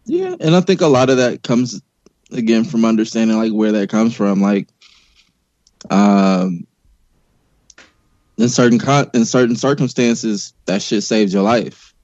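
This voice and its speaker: Laid-back guy with a lowish voice chatting on a podcast, dry close mic. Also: expressive, measured pace, pauses often, a little mellow, no room sound, lo-fi sound. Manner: loose, casual, conversational. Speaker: podcast guest. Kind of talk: casual podcast discussion.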